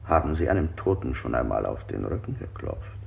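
An actor playing an unsettling interrogator in a German radio play, putting insistent, probing questions. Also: male voice, a bass voice, very animated, a little fast, creaky, exaggerated voice. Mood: probing, menacing. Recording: hissy 1960s radio play recording.

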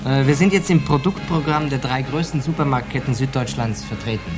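A man's voice: mid-range voice, fairly quick, over music, close to an everyday voice. A man playing a dutiful product manager in a radio drama, formal and report-like in manner.

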